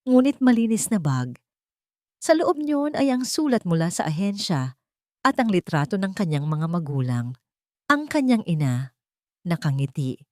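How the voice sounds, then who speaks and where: Woman narrating drama, low-pitched and expressive. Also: no echo, clean studio sound. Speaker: storyteller. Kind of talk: scripted dramatic love story narration.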